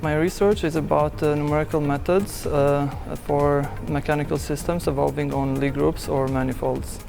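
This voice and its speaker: A man from Albania, a doctoral researcher, giving even-toned recorded interview answers with frequent fillers. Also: fairly high pitch, normal speed, vocal fry, over music. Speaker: PhD researcher.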